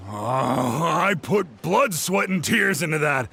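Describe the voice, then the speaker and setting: A man's voice: fairly high pitch, animated, slow pace, gravelly, sharp tone, husky, heavily acted. An actor playing an aggrieved, hard-working man in a video game, speaking in a plaintive, dramatic way. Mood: upset. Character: aggrieved hard-working man who lost his work. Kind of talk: video game character dialogue.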